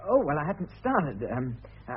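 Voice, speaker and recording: A man's voice: on the high side, very animated, moderate pace, slight background noise. An actor in a radio play voicing a timid, earnest bank clerk, a fussily polite man who hesitates, stammers and apologises. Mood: anxious, indignant. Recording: dull, muffled old radio drama recording.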